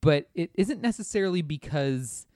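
A man's voice: a little high, lively intonation, normal speed, a little mellow, no echo. Man musing in a dry, close recording. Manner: informal, musing. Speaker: podcast guest. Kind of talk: casual chat, discussing a video game.

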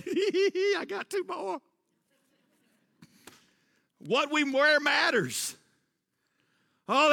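A middle-aged man preaching a sermon, rising into loud emphatic refrains and dropping back to a quieter tone. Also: very high-pitched. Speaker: pastor. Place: church sanctuary. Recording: long church reverb.

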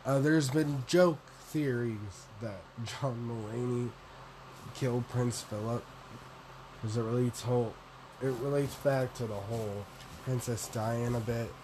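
A young man with a deep voice, rambling through a solo vlog, self-deprecating and swearing casually. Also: fairly even intonation, measured pace, noisy background. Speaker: podcaster and vlogger.

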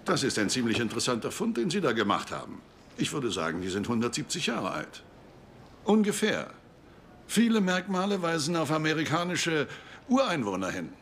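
An actor playing a scholarly, helpful male professor who explains things in a measured, slightly hesitant way. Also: on the high side, wildly swooping pitch, a bit bright, a little hiss or hum, heavily acted. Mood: interested. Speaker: actor in an audio drama or dubbed series. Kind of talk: dramatic dialogue acting.

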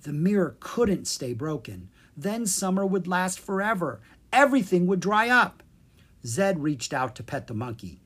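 Low-pitched, husky woman reading a children's story. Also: big pitch swings, measured pace. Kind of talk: expressive scripted narration for children.

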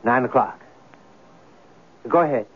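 A man's voice: mid-range voice, unhurried, a little hiss or hum, close to an everyday voice. An actor playing an insistent, accusing man who presses with demanding questions in a radio drama. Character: insistent, accusing male interrogator. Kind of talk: old-time radio drama acting. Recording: tinny narrow-band old radio recording.

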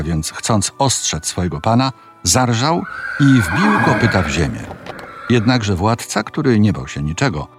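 A male narrator tells a fairy tale with very varied intonation and a medium pitch. His delivery is measured and dramatic, with soft music behind the voice. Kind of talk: scripted fairy-tale storytelling.

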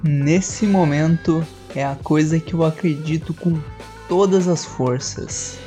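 A woman plays in a tabletop game, her voice low and dark. She speaks slowly and deliberately, and faint music plays behind. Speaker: player. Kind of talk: casual play at a tabletop game.

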